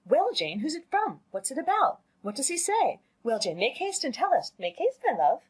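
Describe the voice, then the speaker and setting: A woman voicing a fussy, excitable middle-aged mother in a storybook, gushing and emphatic. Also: mid-range voice, a little fast, breathy edge, voice pushed up. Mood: eager, excited.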